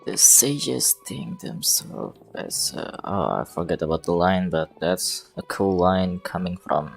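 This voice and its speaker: Woman streamer, extremely low voice, casual commentary, faint game music behind. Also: expressive, unhurried, gravelly.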